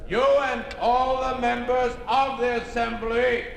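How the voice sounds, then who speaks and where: An actor playing a cold, pompous regime official, speaking in formal, deliberate oratory in a 1950s radio drama. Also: male voice, extremely high pitch, level tone, gravelly, exaggerated voice. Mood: cold, accusing. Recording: echoey hall, faintly noisy old radio recording.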